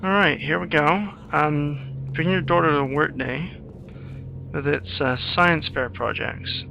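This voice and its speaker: A man's voice: fairly high pitch, takes it slowly, vocal fry, muffled recording, slight background noise. A man giving casual playthrough commentary over a video game, repeating words as he reacts to the play. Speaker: gamer and screenwriter commentator. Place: recorded over gameplay with music.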